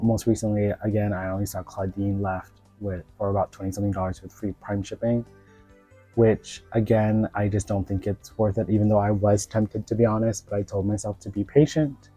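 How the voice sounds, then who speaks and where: Man with a cold, low and dark tone, rambling doll-review vlog chat, dry close sound. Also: dry recording. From United States. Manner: rambling, many filler words. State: sick with a cold.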